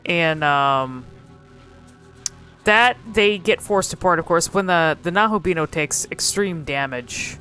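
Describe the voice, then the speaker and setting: A woman's voice: on the low side, slow pace, fairly bright tone, faint music behind. A woman hosting casual, unscripted game commentary, musing and thinking aloud in a hesitant way. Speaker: video host exploring game cutscenes.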